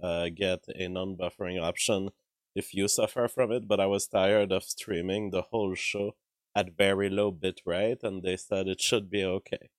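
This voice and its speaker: A man hosting a live stream, hoarse from a cold, talking in a halting way with many fillers and throat-clearing. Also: low-pitched, fairly even intonation, no room sound. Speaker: livestream show host. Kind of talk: casual live-stream commentary reading chat. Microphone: streaming microphone.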